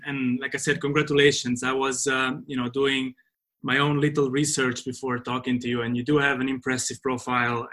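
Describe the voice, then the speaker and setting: Guy hosting a podcast, keeps repeating words, mid pitch. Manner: stumbling repetitions, warm thanks. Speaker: podcast host. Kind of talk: casual interview hosting.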